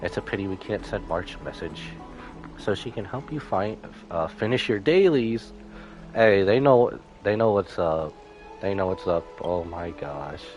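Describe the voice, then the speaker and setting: Male game streamer, low-pitched but lively, reads dialogue aloud with offhand reactions, music behind him. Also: unhurried, low-quality recording. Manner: casual asides, stumbles while reading. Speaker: live streamer playing a story game.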